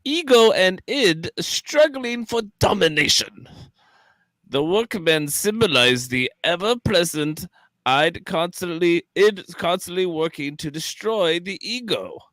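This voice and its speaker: A pompous, wildly swooping psychoanalyst. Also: male voice, sits high, expressive, takes it slowly, fairly bright tone, dry recording, heavily acted, rough recording. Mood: lecturing. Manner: theatrical, lecturing, erratic swoops. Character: pompous, excitable old-school male psychoanalyst, a holodeck Freud. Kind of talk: radio play live read.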